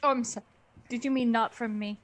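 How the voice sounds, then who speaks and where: A woman's voice: a little high, fairly even intonation, a little fast. A woman co-commentating with casual banter, throwing in blunt, snarky interjections. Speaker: co-commentator on a book-club stream.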